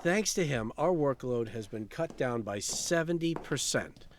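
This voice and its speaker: A man's voice: mid-range voice, expressive, a little slow, slight background noise, lightly acted. A man playing a chatty, upbeat, friendly guy in an indie audio drama, eager and conversational.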